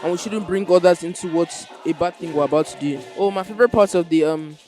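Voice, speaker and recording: A young man with a Nigerian accent explaining his stage role in an informal interview, in a halting way. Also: on the high side, darkish tone. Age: teenager or young adult. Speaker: student actor in a school play. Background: music.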